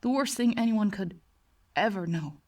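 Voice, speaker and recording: A woman's voice: medium pitch, expressive, normal speed, far from the mic, natural voice. A woman voicing an agitated, tormented young male patient in a horror story, tight and bitter, struggling to keep composure. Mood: resigned, fearful. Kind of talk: acted horror story dialogue.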